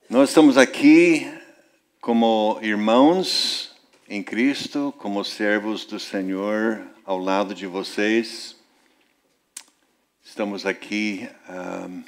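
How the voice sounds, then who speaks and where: A man preaching a sermon as a visiting speaker, with a Portuguese accent that is not from Portugal, speaking earnestly and rising in pitch for emphasis. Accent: Brazilian-influenced Portuguese, non-Portuguese. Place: church service.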